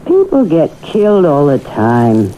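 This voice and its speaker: Cold, swooping sinister double. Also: female voice, low-pitched, huge pitch swings, a little slow, dark tone, full character voice. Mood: matter-of-fact, cold. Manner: matter-of-fact, challenging. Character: cold, unrepentant female murderous double, coolly domineering. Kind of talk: 1970s radio drama acting. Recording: tinny, noisy old radio broadcast.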